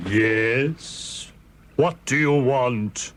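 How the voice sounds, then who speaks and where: A man voicing an obliging magical talking flounder in a musical children's story, measured and questioning. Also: medium pitch. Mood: inquiring.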